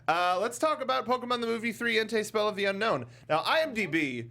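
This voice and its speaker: A man with a fairly high voice hosts a chatty film review show. His pitch moves around a lot, and he talks in a loose, halting ramble, often correcting himself. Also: expressive, pushed and bright. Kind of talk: casual, chatty panel discussion.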